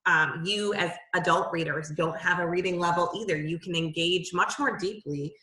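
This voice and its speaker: Echoey, bright-voiced woman co-host. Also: lowish voice, moderate pace, distant mic. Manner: chatty, laughs easily, frequent "um". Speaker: course co-host, literacy educator. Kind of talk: casual instructional discussion. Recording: roomy echo, off-mic.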